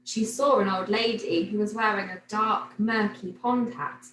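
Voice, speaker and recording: A woman reading a children's picture book aloud like a teacher, in an expressive, animated storytelling manner. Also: mid-range voice, a little slow. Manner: animated, clearly paced storytelling for young children. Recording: roomy echo, noticeable background noise.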